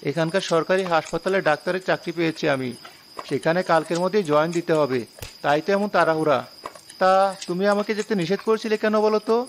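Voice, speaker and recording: Man acting a high-voiced young city doctor. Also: quick pace, a little hiss or hum, close to an everyday voice. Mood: curious. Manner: matter-of-fact, slightly impatient.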